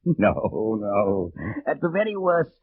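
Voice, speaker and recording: A man's voice: fairly low pitch, big pitch swings, takes it slowly. An actor playing a sly, smug old French nobleman uncle in a mock-genial, gloating 1940s radio drama style. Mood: mock-wounded, gloating. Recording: tinny old radio recording, faint noise.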